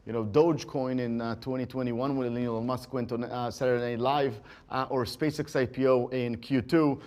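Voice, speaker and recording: Exec guy on an earnings call, medium pitch, talks markets in halting phrases. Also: darkish tone. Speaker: company executive.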